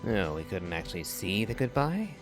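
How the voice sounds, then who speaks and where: A man's voice: lowish voice, big pitch swings, moderate pace, music in the background. A man playing a laid-back, wry, musing character in a video game, hesitant in his phrasing.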